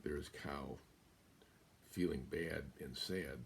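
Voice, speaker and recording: An American man in his seventies reading a children's picture book aloud as a guest storyteller, in a deliberate, emphatic way. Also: very deep voice, vocal fry, a little hiss or hum. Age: 70s. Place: small room indoors.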